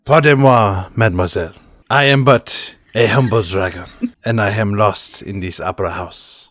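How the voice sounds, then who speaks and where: A man's voice: on the low side, normal speed, a bit bright, no echo, close to an everyday voice. Man playing a melodramatic, lovesick young nobleman. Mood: grandly courteous. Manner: grandiose, courtly, melodramatic. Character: lovesick theatrical young nobleman posing as a humble dragon.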